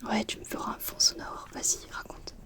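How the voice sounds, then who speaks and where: A woman's voice: moderate pace, lightly acted. A woman playing a friendly, chatty, slightly distracted teenage schoolgirl in a whispered ASMR roleplay, speaking in casual, hesitant student slang. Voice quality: soft, breathy whisper.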